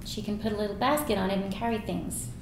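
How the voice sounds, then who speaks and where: A woman's voice: medium pitch, fast talker, lightly acted. An actress playing a determined, hopeful young immigrant mother with earnest delivery at a screenplay table read. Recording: echoey room, faint noise.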